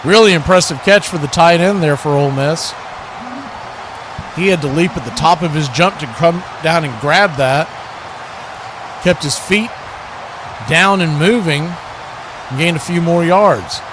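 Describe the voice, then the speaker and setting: A man's voice: a little high, unhurried, long pauses. A man giving live play-by-play football commentary as the announcer, in short clipped phrases. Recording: clear steady background noise.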